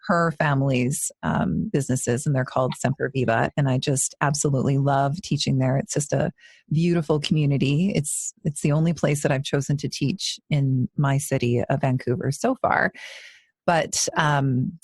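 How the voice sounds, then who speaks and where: A woman's voice: very deep voice, fairly even intonation, normal speed, a little mellow, husky, no echo, clean studio sound. A woman in her forties, a yoga teacher co-hosting a podcast, talking in an earnest, reflective way with frequent restarts. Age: 40s. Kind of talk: casual podcast conversation.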